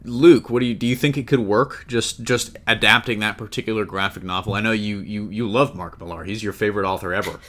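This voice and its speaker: A man's voice: fairly low pitch, a little fast, few pauses, fairly bright tone. A man hosting a casual entertainment news discussion, talking in a conversational, joking way. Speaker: podcast host.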